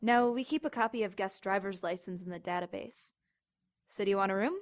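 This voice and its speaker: An actress playing a chatty, casually sarcastic young motel desk clerk in an audio drama. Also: female voice, medium pitch, a little fast, close to an everyday voice. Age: young adult. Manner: casual, sarcastic, then terrified.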